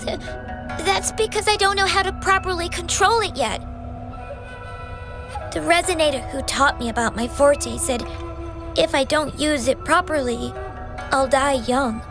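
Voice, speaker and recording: A woman voicing an earnest, plucky little girl in an anime game, with halting childlike speech. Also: high voice, music in the background. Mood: earnest, pleading.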